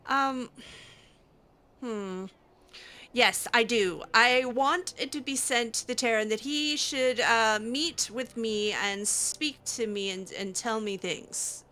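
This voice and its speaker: Female gamer, unhurried and bright, casual playful roleplay commentary with a higher-set voice. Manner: playful, reacting in character. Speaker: gamer doing a roleplay playthrough. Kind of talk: casual in-character game commentary.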